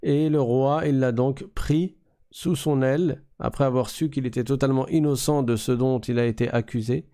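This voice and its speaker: A man giving a religious storytelling lesson as a teacher, speaking didactically in short, measured phrases. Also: medium pitch, warm tone.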